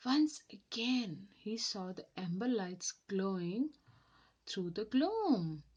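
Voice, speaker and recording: A woman narrates a children's storybook with expression. Her pace is slow and measured, and her pitch moves in lively swings from phrase to phrase. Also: slightly rough, muffled recording. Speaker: storyteller reading a children's book aloud.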